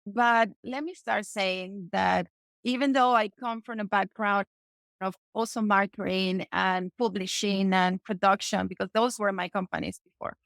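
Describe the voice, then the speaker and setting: A woman's voice: mid-range voice, fairly even intonation, a little slow, creaky, fairly bright tone, no room sound. A woman in her forties from Venezuela with a Spanish accent, a guest on a podcast. She talks with energy and often checks in with "okay?". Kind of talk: casual interview talk.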